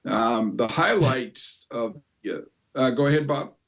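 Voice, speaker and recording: An American man in his sixties answering as an interview guest over a video call, informally explaining things in a measured, hesitant way. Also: mid-range voice, lively intonation, fairly bright tone. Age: 60s. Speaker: guest, convention co-chair. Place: indoor home office. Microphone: computer video call.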